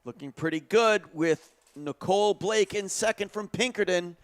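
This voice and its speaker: A man's voice: high voice, moderate pace. A man giving live track race commentary in a conversational way that builds with the action.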